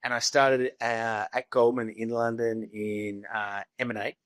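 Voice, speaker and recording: A man's voice: mid-range voice, fairly even intonation, unhurried, a bit bright, dry recording, studio quality. A man from Sydney with an Australian accent, talking informally and anecdotally as an interview guest. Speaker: interview guest, investment executive. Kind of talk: conversational storytelling.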